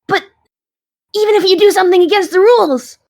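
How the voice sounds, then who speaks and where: A woman's voice: very high voice, a little fast, a little mellow. A woman playing a childish, sulky dragon in an audio drama, with a whiny, dramatic delivery. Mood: flustered, defeated. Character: childish card-playing dragon, hungry, sulky, easily flustered.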